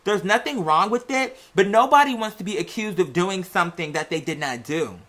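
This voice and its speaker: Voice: medium pitch, animated, brisk, fairly bright tone. A sassy, blunt commentator chatting casually in slangy speech and giving opinionated takes on gossip.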